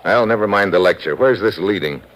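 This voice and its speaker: An actor playing a tough, wry secret agent with an American accent in a 1950s radio drama, blunt and clipped. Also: male voice, low-pitched, animated, a little fast, fairly bright tone. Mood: urgent, defiant. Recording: old radio recording, faint noise.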